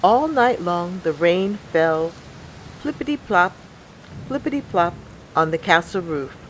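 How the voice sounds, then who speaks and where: Slow-paced woman reading a children's story. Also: lowish voice, a little hiss or hum, low-quality recording. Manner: deliberate, expressive storybook delivery with acted sound words. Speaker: storyteller reading a picture book aloud. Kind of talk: children's story read-aloud narration.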